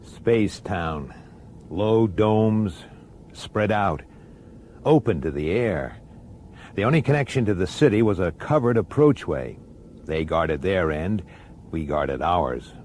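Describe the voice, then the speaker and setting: Casting: irritable, resentful detective narrator for radio noir, gruff and animated, faint background noise. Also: male voice, low voice, slow pace, long pauses. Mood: sardonic, grumbling. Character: hard-bitten, resentful plainclothes police detective, narrator. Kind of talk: radio drama acting with first-person noir narration.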